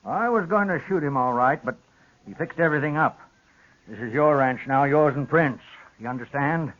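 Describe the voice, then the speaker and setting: A man's voice: on the high side, normal speed, fairly bright tone, natural voice. An actor playing a stubborn old rancher father with a folksy Western drawl in a 1950s radio western. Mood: indignant, stubborn. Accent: American Western drawl. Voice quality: folksy rural speech. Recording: dull old radio recording.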